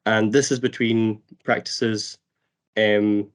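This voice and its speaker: A man's voice: on the low side, little pitch movement, takes it slowly. A man with a cold presenting on a webinar video call, casual and hesitant, with many fillers. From Scotland. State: has a cold.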